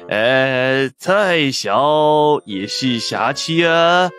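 A man's voice: mid-range voice, animated, very slow, fairly bright tone, pitched above the performer's own voice. A man voicing a wily, skinny businessman in his fifties in an audiobook, drawling and nitpicking in Cantonese-accented Mandarin. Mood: smug haggling.

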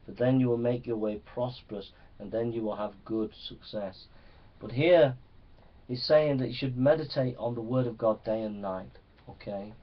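A male preacher teaches from the Bible in an earnest, exhorting way. His voice sits at an average pitch for a man. It is picked up some distance from the microphone, and the sound is a little dull. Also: moderate pace. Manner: earnest, exhorting, often seeking assent. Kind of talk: Bible teaching sermon to young people.

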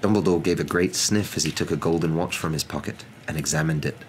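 A man's voice: extremely low pitch, quick pace, a little hiss or hum, poor audio. A young man narrating a storybook in an ASMR reading. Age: young adult. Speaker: storybook reader.

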